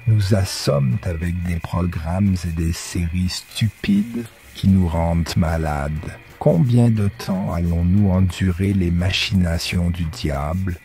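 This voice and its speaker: Man doing an old man in an animated film, very deep, slow and gravelly, dark-toned, grieving and bitter. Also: heavily acted. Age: older. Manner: slow, lamenting delivery.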